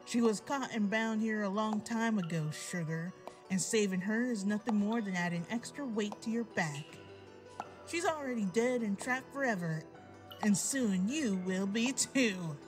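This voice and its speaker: A woman voicing a sadistic villainous mare who taunts her captive in a slow, mocking drawl. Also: medium pitch, faint music behind, natural voice. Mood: menacing taunt. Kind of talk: fan audio drama acting.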